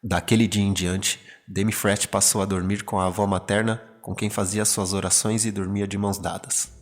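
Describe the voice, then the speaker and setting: A man's voice: fairly low pitch, flat delivery, moderate pace. A man with a Brazilian accent giving scripted, dramatic narration of a horror story. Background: prominent music.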